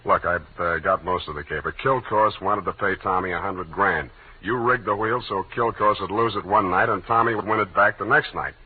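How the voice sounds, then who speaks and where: An actor playing a wry, deadpan private detective in 1940s radio drama style, heard on an old, narrow broadcast. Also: male voice, sits low, moderate pace, slightly rough. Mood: tough, probing. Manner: deadpan, sardonic. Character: wry, hard-boiled male private detective.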